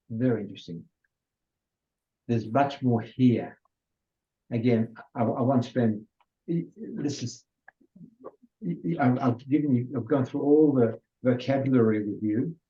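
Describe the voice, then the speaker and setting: Slow, mellow-voiced older man lecturing. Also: mid-range voice. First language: Hungarian. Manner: unhurried, with many restarts and fillers. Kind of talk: informal lecture. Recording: dull lo-fi recording.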